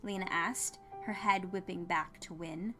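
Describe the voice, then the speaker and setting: A woman reading scripted fiction as an expressive audiobook narrator. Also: fairly low pitch, unhurried. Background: music.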